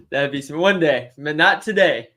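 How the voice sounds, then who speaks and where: A young man co-hosting a casual sports betting livestream, chatty and quick to laugh while he answers questions from the chat. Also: on the high side, sing-song, bright, forceful tone. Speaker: sports betting stream co-host.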